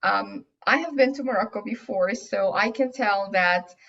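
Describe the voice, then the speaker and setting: A woman's voice: mid-range voice, normal speed, vocal fry, a bit bright. A woman whose first language is Arabic, from Egypt, answering interview questions in a casual, hesitant way with many fillers and restarts. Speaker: guest aromatherapy teacher. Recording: dull lo-fi audio.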